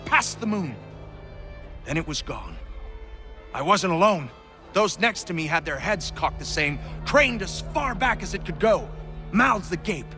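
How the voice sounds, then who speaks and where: An actor playing a frontier man in a video game, telling tall tales of strange sightings. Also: sits high. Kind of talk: dramatic game dialogue.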